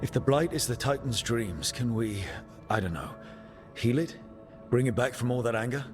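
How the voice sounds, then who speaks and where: A man's voice: mid-range voice, moderate pace, lightly acted. A man playing a practical, empathetic leader of adventurers in a video game cutscene, speaking conversationally. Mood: sympathetic. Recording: music clearly behind the voice.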